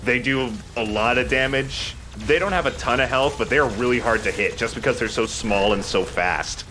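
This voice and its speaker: A man's voice: medium pitch, moderate pace, noisy background. A man giving casual gaming commentary as a let's play host, explaining things in a self-deprecating way.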